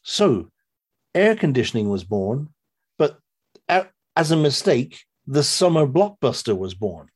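A man with a husky, slightly rough voice and a middle pitch. He chats casually as a podcast guest, rambling through his stories with animated, loose delivery. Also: unhurried. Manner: rambling, animated storyteller, swearing. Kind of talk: casual chat, retelling a story.

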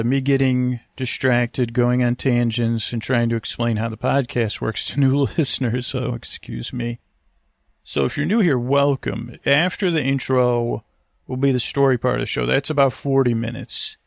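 A man with a gravelly voice, rambling as a podcast host in a hesitant, stammering, meandering monologue meant for falling asleep to. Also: mid-range voice, no echo, clean studio sound.

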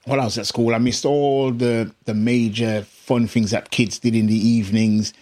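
A middle-aged English musician with a British accent touched by Jamaican Caribbean tones, telling stories casually in an interview and laughing often. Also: male voice, medium pitch, moderate pace. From England. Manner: laughs often, animated anecdotes. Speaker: musician guest.

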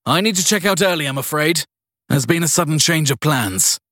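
A man's voice: on the high side, animated, fairly quick, fairly bright tone, no room sound, clean studio sound, voice pushed up. A man voicing a flustered, breathless guest who is curt but polite in an audiobook story. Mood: hurried, breathless. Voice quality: breathless, rasping between gasps.

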